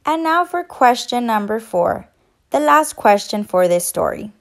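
A woman's voice: on the high side, animated, unhurried. A woman teacher reading and quizzing for young children in an instructional, encouraging manner. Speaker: teacher and children's story reader. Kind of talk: children's read-aloud and quiz.

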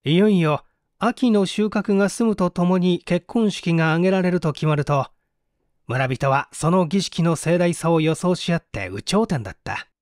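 A man's voice: high voice, normal speed, no room sound, studio quality. A man reading a mystery story aloud as dramatic scripted audiobook narration. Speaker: audiobook narrator, stage actor.

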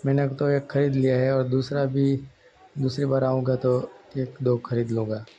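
A man from India, narrating a casual shopping vlog with hesitant, halting phrasing. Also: medium pitch, little pitch movement, dark tone. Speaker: vlogger. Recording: dull lo-fi audio with background noise.

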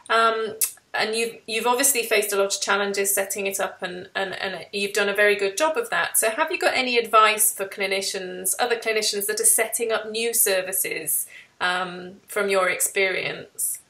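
A woman with a bright, crisp-edged voice of average pitch conducts an interview over a video call. Her questions come in halting phrases, with an encouraging, conversational manner. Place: indoor room, video call. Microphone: computer on video call.

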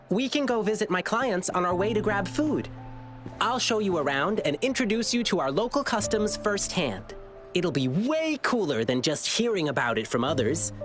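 An actor voicing a cheerful, eager young lion-eared boy performer in a video game, friendly and bubbly. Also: high-pitched. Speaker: lion dance troupe performer.

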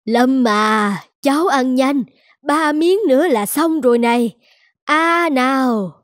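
A woman's voice: high-pitched, takes it slowly, no room sound, clean studio sound. A woman playing a doting, humble elderly housekeeper in a cartoon, with a coaxing manner. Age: older. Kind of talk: scripted cartoon dialogue.

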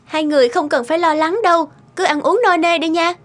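A poor young village girl from a cartoon folk tale , played by a woman. Her voice is very high, with a polite, earnest manner, and music plays clearly behind her. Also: fairly even intonation, brisk. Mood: confident, polite.

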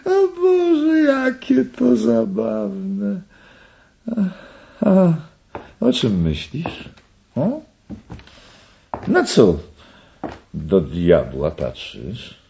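An actor playing a deranged, gloating murderer in a radio play, theatrical, drawn-out and erratic in his delivery. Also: sits high, wildly swooping pitch, creaky, dark tone, muffled recording, full character voice. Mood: manic amusement. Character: deranged, gloating male murderer, obsessed with knives.

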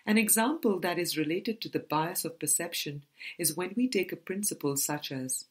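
A woman reading a book aloud as an audiobook narrator, in measured, deliberate phrases. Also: lowish voice, breathy edge. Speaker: audiobook reader.

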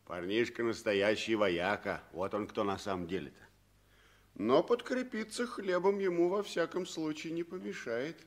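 An actor playing a jolly, good-natured tramp in a radio play, with a folksy, bantering delivery. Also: male voice, mid-range voice, normal speed, close to an everyday voice. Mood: warm banter. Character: hearty, good-natured adult male tramp, jolly and teasing. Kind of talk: 1960s Soviet radio play acting.

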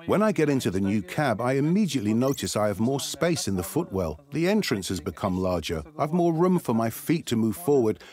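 German farmer, 30s, measured testimonial, expressive low voice. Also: male voice. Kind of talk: customer testimonial interview. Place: tractor showroom.

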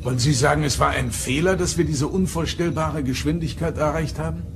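An actor playing a commanding starship captain in an audio drama, formal, insistent and probing. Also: male voice, on the high side, fairly quick, creaky, music in the background. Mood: stern, probing. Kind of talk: German audio drama acting.

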